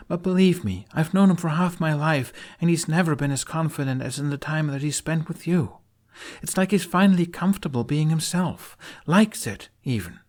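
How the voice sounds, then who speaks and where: Guy doing a earnest young lady knight, high voice, stubborn and pleading, swingy delivery, audio drama. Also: darkish tone, close to an everyday voice. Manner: emphatic, imploring.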